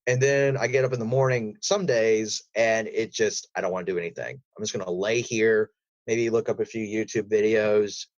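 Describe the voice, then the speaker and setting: A man speaking as a podcast guest, giving advice in casual, emphatic, rambling explanations. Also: on the low side. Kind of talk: casual interview chat with advice. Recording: roomy, slightly dull audio.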